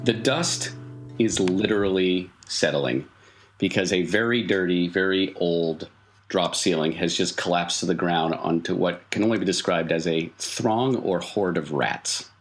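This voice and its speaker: A man with a low voice narrates a tabletop role-playing game as its game master. His delivery is conversational and wry, as if improvising the story among players. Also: measured pace.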